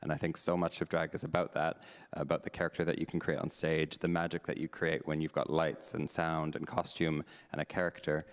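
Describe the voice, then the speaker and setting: A man with a very low voice hosts a live panel, answering questions frankly and candidly. He thinks aloud as he goes. The sound carries a long reverb from a large hall. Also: level tone, a little fast, hardly any pauses, smooth. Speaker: panel host, drag performer. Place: meeting house hall.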